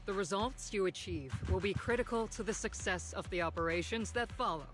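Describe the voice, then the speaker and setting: A woman voicing a stern military colonel in a video game, measured and formal as if briefing troops. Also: medium pitch, soft background music, lightly acted. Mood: formal, resolute. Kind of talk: scripted video game acting.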